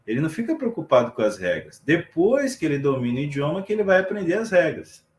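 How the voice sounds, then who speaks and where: A man, teaching a class live over a video call, explaining calmly and checking in with his students often. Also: on the high side, fast talker, echoey room. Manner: relaxed explaining with frequent check-ins to students. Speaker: sign language teacher. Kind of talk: online class lecture.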